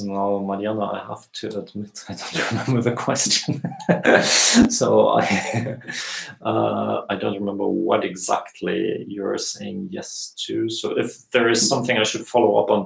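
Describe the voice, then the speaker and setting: A man in his thirties answering viewers' questions in a relaxed live lesson, pausing often with fillers, on a webcam in a small room. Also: on the low side, gravelly. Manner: relaxed, thinking aloud with many fillers. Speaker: language teacher. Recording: echoey room.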